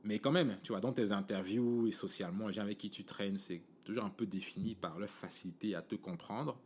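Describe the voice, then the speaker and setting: Deep-voiced Cameroonian man chatting casually. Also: a little fast, a little mellow, a little hiss or hum. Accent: Cameroonian French. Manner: conversational, many fillers and "tu vois" asides. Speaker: podcast host. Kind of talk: casual monologue.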